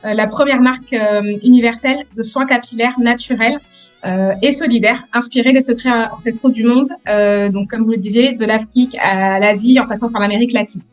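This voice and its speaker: A woman in her thirties from France, a guest entrepreneur answering interview questions with many hesitant pauses. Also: fairly high pitch, steady tone, faint music behind. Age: 30s. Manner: hesitant, many "euh" pauses, soft-spoken.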